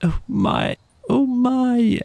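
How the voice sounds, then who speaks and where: A plaintive little mole from a storybook performed by a man, whimpering and grumbling in a raised voice. The tone is soft-edged and a little creaky, with a simple, put-upon manner. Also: very high-pitched, wildly swooping pitch, very slow, no echo, voice pushed up, exaggerated voice. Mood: pained whimpering. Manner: whimpering, miserable, ungrammatical. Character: injured mole, plaintive, grumbling, slightly dim animal. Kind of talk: storybook character voice.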